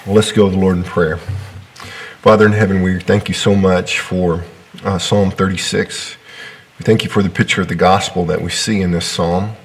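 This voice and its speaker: Low-voiced man preaching while sick. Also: fairly even intonation, moderate pace. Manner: casual, self-deprecating asides with fillers. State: sick, voice deeper than usual. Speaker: pastor. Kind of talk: sermon with prayer.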